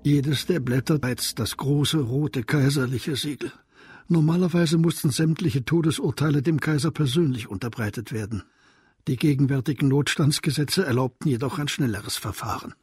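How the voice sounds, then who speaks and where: A man narrating a radio crime drama in a measured, formal storytelling manner. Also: mid-range voice, darkish tone, husky, dry recording, studio quality.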